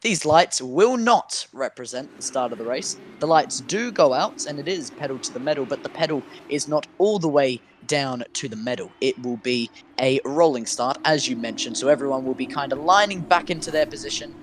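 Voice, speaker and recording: A man's voice: mid-range voice, lively intonation, moderate pace, few pauses, slight background noise. A man co-commentating a sim race, playful and dramatic, often stammering as he starts a sentence.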